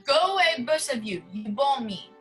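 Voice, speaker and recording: A woman's voice: high-pitched, expressive, moderate pace, faint music behind. A woman playing a haughty, flirtatious foreign society madame in a theatrical radio play. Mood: indignant. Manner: theatrical, haughty, hesitant searching for English words.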